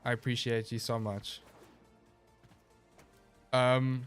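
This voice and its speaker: A man streaming a video game live, chatting casually and answering his chat in a relaxed, rambling way, sounding a little stuffy and nasal. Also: mid-range voice, slow pace, soft background music. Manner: laid-back, rambling, reads chat aloud and answers.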